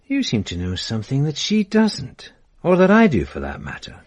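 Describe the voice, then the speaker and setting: Blunt sergeant, lively and probing, pressing hard. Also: male voice, on the high side, normal speed, highs cut off. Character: persistent police sergeant, middle-aged man. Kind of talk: radio drama acting.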